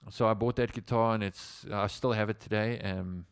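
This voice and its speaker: South African musician, low gravelly voice, dry sound, loose rambling storyteller. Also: male voice, fairly even intonation, normal speed, no room sound. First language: Afrikaans. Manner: rambling, with many fillers and restarts. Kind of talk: casual livestream storytelling.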